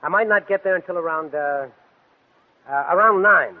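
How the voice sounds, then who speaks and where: An actor playing a smooth, coldly controlled, scheming man in a 1940s radio drama. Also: high-pitched, moderate pace, natural voice. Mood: casually persuasive. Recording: muffled, tinny vintage 1940s radio recording.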